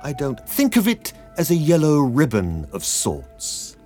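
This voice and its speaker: A man voicing a sinister old male tailor who speaks slowly, emphatically and almost aggressively. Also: a little high, wildly swooping pitch, darkish tone, faint music behind, voice pushed up, exaggerated voice. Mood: menacing.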